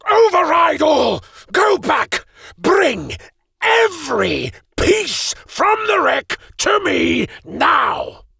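A raspy, shrieking half-metal mage. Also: male voice, fairly high pitch, huge pitch swings, slow, dry recording, clean studio sound, voice pushed up, heavily acted. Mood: raging. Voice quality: raspy, breathy whisper sliding into shrieks. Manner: theatrical, snarling, swings from hissing threat to frantic shouting. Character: menacing male mage with a metal arm and a red glowing eye, greedy and volatile. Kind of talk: audiobook character voice.

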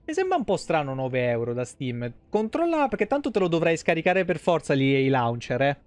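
A man's voice: on the high side, sing-song, fairly quick, a little mellow. A man, giving casual, joking, foul-mouthed live-stream commentary. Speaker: video game streamer. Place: small home room, indoors.

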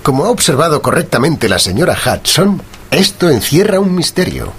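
A self-assured detective gentleman in a radio play, measured and methodical, really expressive intonation. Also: fairly high pitch, husky, heavily acted. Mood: pensive, deliberate. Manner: measured, analytical. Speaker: voice actor. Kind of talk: radio drama acting.

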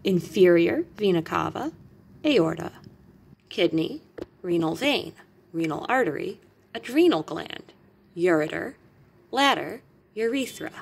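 A woman reading educational material aloud as a teacher, with animated emphasis and carefully separated lists. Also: on the low side, a little slow, creaky, pauses often, a little hiss or hum. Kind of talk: expressive educational read-aloud.

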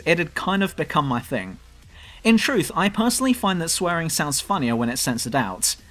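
Lively southern English man, fairly high. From southern England. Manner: dry, self-mocking. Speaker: YouTube gaming channel host. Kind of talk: scripted commentary over gameplay.

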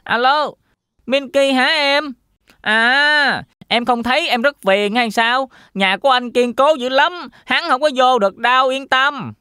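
A woman's voice: high voice, a little slow, pushed and bright, smooth, dry recording, studio quality. A woman voicing a carefree, dismissive young male pig, the middle brother, in a children's fairy tale cartoon.

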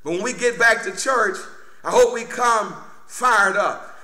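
A man's voice: high voice, a little slow, pushed and bright. A middle-aged man preaching a fervent sermon as a pastor, emphatic and exclamatory, repeating phrases. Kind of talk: fervent Baptist Easter sermon. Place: church sanctuary at a pulpit, indoors. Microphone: microphone on boom stand at pulpit. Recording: roomy, echoing church sound.

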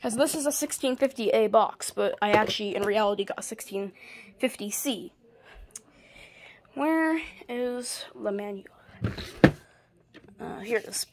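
A hobbyist showing off a collection in a casual, halting, offhand way, filmed on a handheld device in a bedroom. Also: mid-range voice, slight background noise. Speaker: hobbyist video maker. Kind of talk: casual show-and-tell of a collection. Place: small carpeted bedroom, indoors. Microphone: handheld phone or camera, moving around.

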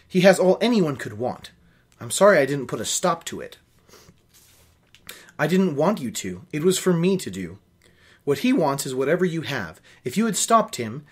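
A man's voice: on the high side, big pitch swings, normal speed. A young man reading a novel aloud in a small room at home, hosting and adding wry, casual asides. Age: young adult. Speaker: host of a book reading show.

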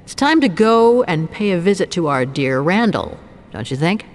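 An actress playing a commanding, knowing companion who urges haste in a video game cutscene, speaking in clipped phrases. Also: female voice, lowish voice, very animated, moderate pace, big-room sound, slight background noise. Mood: impatient, knowing. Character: commanding companion urging haste in vampire drama.

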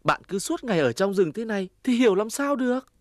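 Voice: mid-range voice, very animated, fairly quick, no echo, lightly acted. Deceitful weasel for a kids' cartoon actor voicing: swooping, oily, boastful delivery, dry sound. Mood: wheedling, insinuating. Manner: wheedling, swings into boastful cackling. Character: sly deceitful weasel, fake-friendly, gloating when triumphant. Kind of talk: Vietnamese children's cartoon acting.

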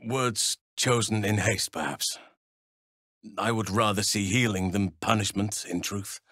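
An actor playing a gentle, solemn male elf druid healer in a video game, speaking in earnest, measured, formal phrases. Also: lowish voice, no echo, studio quality. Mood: somber, tender. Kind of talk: video game character voice lines.

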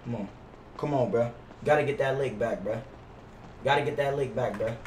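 A man's voice: mid-range voice, moderate pace, roomy sound, distant mic, background noise. A young American man streaming a roleplay game on a headset, talking in casual, slangy, sweary street talk. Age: young adult. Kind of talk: gaming livestream roleplay, casual slangy chat. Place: indoor room at gaming chair.

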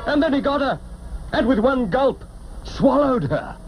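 A man narrating a children's storybook cartoon in a theatrical, expressive way, on an old film soundtrack. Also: very high voice, unhurried, rough recording. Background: orchestral music.

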